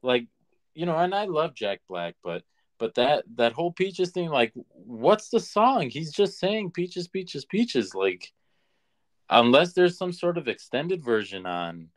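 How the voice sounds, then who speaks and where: A man co-hosting a podcast in casual conversation, opinionated and halting as he restarts his points. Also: fairly high pitch, very animated. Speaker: podcast co-host. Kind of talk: casual chat.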